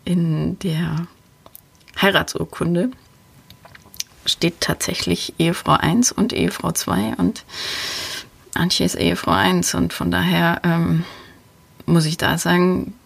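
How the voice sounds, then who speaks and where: A woman's voice: lowish voice, steady tone, slow pace, gravelly, long pauses, husky. A woman hosting a podcast, talking casually and thinking aloud as she answers listener questions. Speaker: podcast host.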